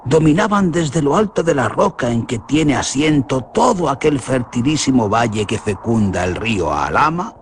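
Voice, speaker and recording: A man with a Spanish accent from Spain, narrating a legend for a radio drama in an expressive, theatrical way. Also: medium pitch, normal speed, hardly any pauses, slight background noise. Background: music.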